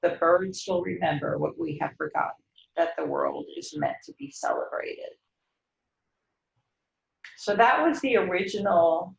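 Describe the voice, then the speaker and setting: A middle-aged woman talking reflectively and intimately about poetry, gently and contemplatively, with hesitant pauses. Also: lowish voice, gravelly. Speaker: host reading and discussing poetry. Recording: roomy, slightly dull sound.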